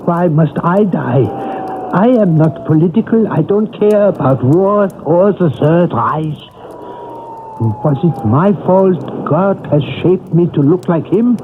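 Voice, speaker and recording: An actor playing a frightened, timid man who serves as a dictator's double, speaking in a halting, pleading way. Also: high-pitched, animated, exaggerated voice. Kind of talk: 1970s radio drama acting. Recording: tinny hissy old radio broadcast.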